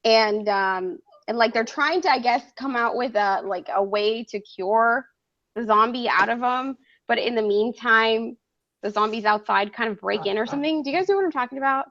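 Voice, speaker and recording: Gravelly woman losing her voice. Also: a little high, moderate pace, fairly bright tone. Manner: chatty, laughs often. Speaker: host. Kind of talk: casual group chat on a stream. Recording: dull lo-fi stream audio.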